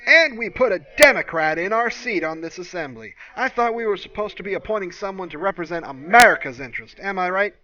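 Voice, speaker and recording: A man playing a loud, opinionated talk-radio host, ranting with punchy, indignant emphasis in bombastic DJ patter. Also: high voice, lively intonation, a little fast, hardly any pauses, dull audio, pitched above the performer's own voice.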